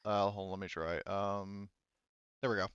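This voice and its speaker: A man casually narrating and refereeing a tabletop roleplaying game as game master, halting and loosely profane as he improvises. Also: low-pitched, flat delivery, fairly bright tone, clear, resonant tone, no echo, muffled recording.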